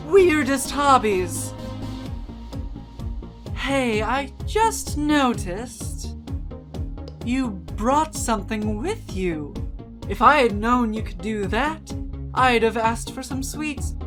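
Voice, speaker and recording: Girl doing a playful demon queen of the abyss, slow n theatrical, grand drawn out words, music behind. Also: on the high side. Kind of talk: dramatic reading of game dialogue.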